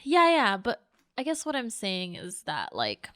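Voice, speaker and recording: A woman's voice: mid-range voice, huge pitch swings, unhurried, fairly bright tone, no echo. Woman chatting casually, dry close sound. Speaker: podcast co-host. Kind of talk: casual film discussion chat.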